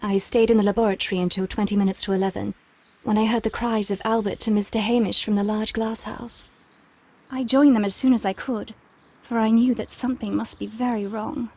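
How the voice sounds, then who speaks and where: A woman's voice: mid-range voice, fairly even intonation, a little fast, warm tone, close to an everyday voice. A woman playing a composed young researcher in a video game, answering politely and precisely. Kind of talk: scripted game dialogue, giving testimony.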